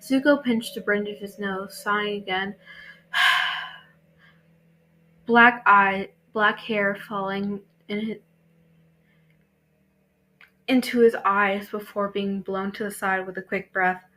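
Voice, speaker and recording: A woman's voice: mid-range voice, fairly even intonation, slow, long pauses, sharp tone, low-quality recording. A woman narrating fan fiction as an amateur reader, often stumbling and rereading words. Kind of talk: story reading.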